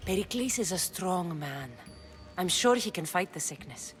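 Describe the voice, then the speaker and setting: An actress voicing a fierce, defiant Greek mercenary heroine in a video game, speaking firmly and intensely. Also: female voice, lowish voice, animated, normal speed, faint music behind, natural voice. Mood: earnest. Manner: firm, intense, determined. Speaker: game protagonist. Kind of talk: video game cutscene acting.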